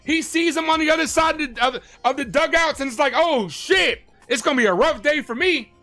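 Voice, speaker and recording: High, bright-voiced man ranting. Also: a little fast, faint music behind. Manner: profane, mocking, stammering for effect. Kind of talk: ranting sports commentary clip.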